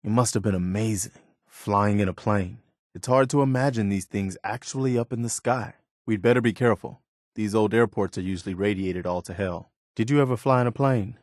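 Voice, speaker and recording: Man acting earnest, lowish-voiced wasteland militiaman. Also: brisk, dry recording, studio quality, lightly acted. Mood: wary, watchful. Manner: plain-spoken, wistful, wary. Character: earnest, hopeful militiaman companion in a post-apocalyptic wasteland. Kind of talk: video game companion dialogue.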